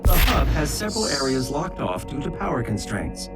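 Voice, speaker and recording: A man voicing a calm, helpful ship's artificial intelligence in a video game, giving matter-of-fact instructions. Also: medium pitch, normal speed, close to an everyday voice. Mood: calm, informative. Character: calm, helpful male ship computer intelligence. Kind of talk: scripted video game guidance. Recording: loud music behind the voice. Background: loud game score.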